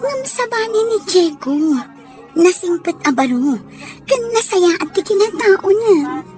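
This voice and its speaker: A woman's voice: extremely high pitch, lively intonation, unhurried, a little mellow, soft background music. A woman acting a lovestruck young woman pining for a man in an audio drama. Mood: yearning. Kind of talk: acted dialogue in an audio drama.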